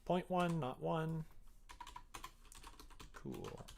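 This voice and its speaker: A man's voice: on the high side, wildly swooping pitch, extremely slow, gravelly, noisy background. A man streaming casually, talking informally and muttering to himself as he works. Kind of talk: casual livestream chat while coding a visual novel.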